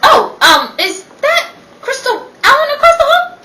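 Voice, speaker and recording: A woman's voice: very high-pitched, sing-song, measured pace, pushed and bright, heavily acted. Lady playing a gushy busybody rich wife, old radio drama, really swoopy, echoey room. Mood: gossipy insistence. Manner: theatrical, gushing. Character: meddling, gossipy high-society wife feigning concern. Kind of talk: 1930s radio play acting. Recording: echoey room, distant mic, faint noise.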